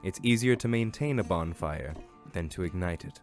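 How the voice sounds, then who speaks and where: A man playing a calm, formal advisor at a royal court in a fantasy fandub, speaking in a measured, instructive way. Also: deep voice, soft background music. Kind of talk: fandub fantasy drama acting.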